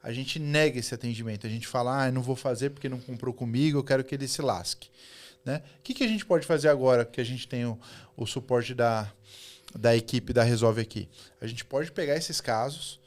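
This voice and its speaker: Man hosting a podcast, chatty and teasing, slips into persuasive pitches aimed at his audience. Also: a little fast. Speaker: podcast host. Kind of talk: casual podcast interview.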